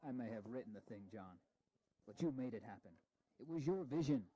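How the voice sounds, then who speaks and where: A man plays a charming, learned American diplomat of the founding era in an audio drama. His voice is mellow and relaxed, his phrasing articulate and measured, with a gentle teasing edge. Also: medium pitch, lo-fi sound, natural voice. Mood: warm, sincere. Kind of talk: historical audio drama acting.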